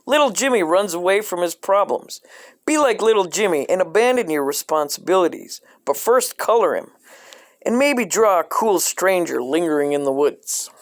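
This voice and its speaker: A man reading a dark comic coloring book aloud in a deadpan way, breaking off into profane asides. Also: sits high, normal speed, dry recording, studio quality.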